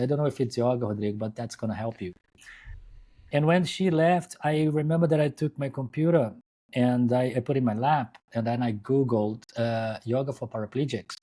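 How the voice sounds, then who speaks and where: A middle-aged man from Brazil with a Brazilian Portuguese accent, a guest yoga teacher telling his story in an unhurried way over a video call, with many fillers and restarts. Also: mid-range voice, warm tone. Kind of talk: interview storytelling. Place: home, joining remotely.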